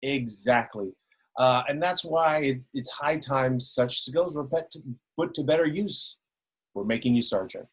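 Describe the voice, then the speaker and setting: A man's voice: mid-range voice, expressive, a little slow, slightly rough. A man reading a jovial, dodgy sergeant. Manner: hesitant, lots of ums and restarts. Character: jovial, evasive male police sergeant.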